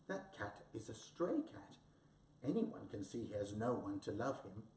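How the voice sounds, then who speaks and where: A gentle, kind little storybook mouse, read by a man, soft and slow. The voice sits in a roomy space away from the mic. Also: fairly low pitch, big pitch swings, slight background noise, voice pushed down. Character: small tender-hearted mouse. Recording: roomy echo, distant mic.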